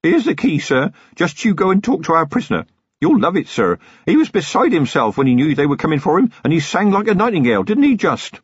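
A man reading an audiobook, voicing an eager young male rural constable who rambles excitedly. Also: on the high side, fairly quick, breathy edge, no echo, dull audio, voice pushed up.

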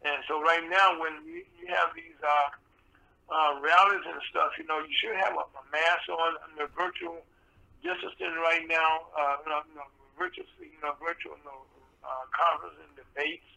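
A man hosting a radio talk show with a soft voice, rambling and full of fillers and restarts. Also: a little high, lively intonation, normal speed, vocal fry, poor audio.

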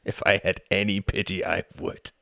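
A man voicing an older, cruel and mocking male Nazi count who shouts and cackles. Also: low voice, flat delivery, moderate pace, creaky, no echo, heavily acted. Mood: sneering.

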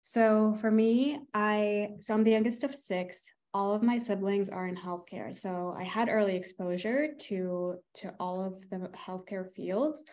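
A young woman who grew up in Egypt, a medical student, telling her path in a measured, earnest way. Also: medium pitch, a little mellow. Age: young adult. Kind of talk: interview answers.

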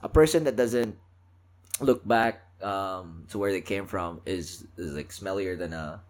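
A man's voice: sits low, unhurried. A man from the Philippines co-hosting a podcast, telling stories in a casual chat with many fillers. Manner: animated storyteller, many fillers. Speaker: podcast co-host.

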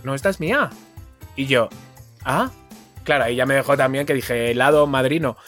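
A man's voice: mid-range voice, lively intonation, moderate pace, fairly bright tone, soft background music. A man co-hosting a casual podcast, telling anecdotes colloquially and acting out bits of quoted dialogue. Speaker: podcast co-host.

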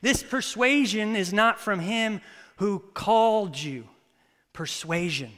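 Brief: thirties male pastor, high bright voice, animated storyteller, church reverb. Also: takes it slowly. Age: 30s. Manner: animated, storytelling with acted-out quotes. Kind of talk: sermon with prayer and stories. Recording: long reverb of a church hall.

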